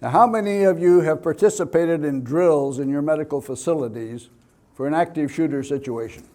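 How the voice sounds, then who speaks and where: An older man speaks to teach, as a seasoned security trainer would. His voice sits a little higher than most men's, and he sets out his points and personal stories in deliberate, measured phrases. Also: darkish tone. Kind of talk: public training talk with personal anecdotes.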